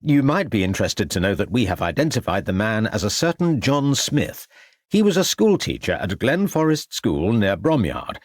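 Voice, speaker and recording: A man's voice: fairly low pitch, very animated, normal speed, no echo, clean studio sound. A man voicing a polite, dutiful police detective in an audiobook, formal and restrained. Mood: dutiful, unsettled. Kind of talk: audiobook character acting.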